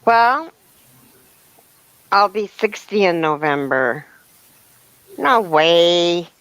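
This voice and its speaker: Fifty-something woman, smooth dry voice. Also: on the low side, expressive, drawn-out words, fairly bright tone, dry recording, rough recording. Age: 50s. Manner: chatty, reads out viewer comments. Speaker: crochet channel host. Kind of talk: casual live-stream chat.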